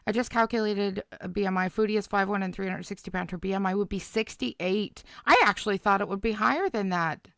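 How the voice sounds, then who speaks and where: Chatty woman streamer with creaky voice. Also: mid-range voice, brisk, hardly any pauses, fairly bright tone, no echo, studio quality. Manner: chatty, reactive, talks to viewers. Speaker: livestream host reacting to a clip. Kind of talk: casual live chat commentary.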